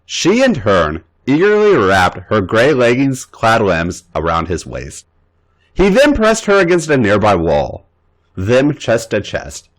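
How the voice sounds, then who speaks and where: Man, podcast host, story reading, pitch swooping widely. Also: huge pitch swings, slow, rough recording. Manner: voices characters' lines with big pitch jumps.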